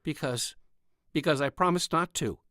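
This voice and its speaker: A man's voice: a little high, moderate pace, bright, forceful tone, dry recording, studio quality, voice pushed up. Man voicing a shy, earnest young corporal. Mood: evasive, apologetic. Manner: hesitant, polite, murmuring. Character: shy, earnest young male corporal, twenty-six, evasive. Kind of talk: audiobook character dialogue.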